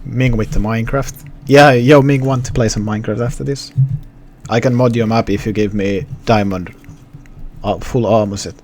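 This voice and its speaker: A young man from Finland with a softened Finnish accent, chatting casually to his live-stream viewers with many restarts. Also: mid-range voice, steady tone, normal speed, slight background noise. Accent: Finnish, partly suppressed. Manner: rambling, restarts, talks to chat.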